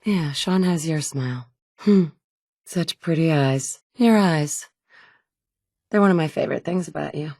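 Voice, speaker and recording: A woman's voice: low-pitched, unhurried, long pauses, a little mellow, dry recording. A woman playing a determined young mother surviving in a wasteland, with earnest, dry, conversational delivery in video game dialogue. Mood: warm, teasing. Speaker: video game player character.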